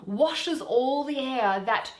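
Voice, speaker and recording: A woman voicing a proud, indignant fairy queen in measured, declamatory Shakespearean verse. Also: high voice, expressive, roomy sound. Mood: aggrieved.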